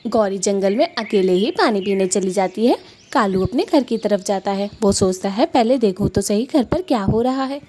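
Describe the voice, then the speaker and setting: Female cartoon story narrator, medium pitch, slightly noisy recording. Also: expressive, moderate pace, dark tone.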